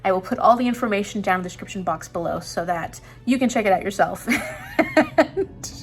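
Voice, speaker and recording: A woman's voice: mid-range voice, animated, a little fast, soft background music. A young woman chatting casually in an upbeat, enthusiastic vlog, laughing often. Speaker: booktuber.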